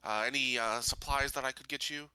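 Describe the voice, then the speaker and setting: A man's voice: mid-range voice, fairly even intonation, fairly quick, pushed and bright, smooth, dry recording, rough recording. A man playing a tabletop roleplaying game, improvising casually with polite, hesitant phrasing. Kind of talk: casual in-character improvised play.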